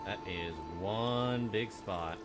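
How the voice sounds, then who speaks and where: A man's voice: lowish voice, drawn-out words. Man streaming games over music. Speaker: game streamer. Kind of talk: casual live gameplay reaction. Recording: game music behind the voice.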